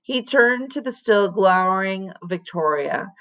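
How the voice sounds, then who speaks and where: A woman reads a storybook aloud like a teacher to a class. Her delivery is dramatic and deliberate, with a highly varied pitch and an unhurried pace. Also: lively intonation, a bit bright. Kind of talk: expressive storybook narration.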